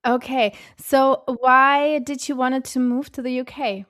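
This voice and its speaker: A woman's voice: high-pitched, level tone, unhurried, fairly bright tone, dry recording, studio quality. A woman hosting a podcast, chatting in a friendly, loose way as she introduces the show and asks questions. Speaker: podcast host. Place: Texas, indoors.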